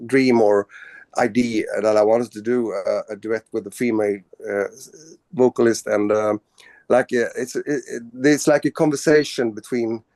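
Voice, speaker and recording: A man's voice: on the low side, fairly even intonation, moderate pace, a little mellow, no room sound. A man from Sweden, a band's singer, answering interview questions casually, with many fillers and restarts. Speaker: band vocalist.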